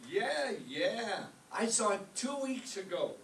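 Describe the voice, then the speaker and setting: A man playing a hard-up, wisecracking music maestro, gloomy and deadpan, in a radio comedy. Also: high-pitched, exaggerated voice. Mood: deadpan, wry. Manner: deadpan, deliberate punchline delivery. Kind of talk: live old-time radio comedy acting. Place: echoey room, mic at a distance. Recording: roomy, distant live stage recording, faint noise.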